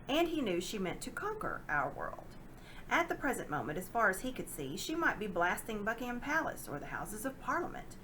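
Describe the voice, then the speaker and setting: A woman reading a children's novel aloud in a dramatic, expressive narrating style. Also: medium pitch, a little hiss or hum, low-quality recording. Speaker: storybook reader.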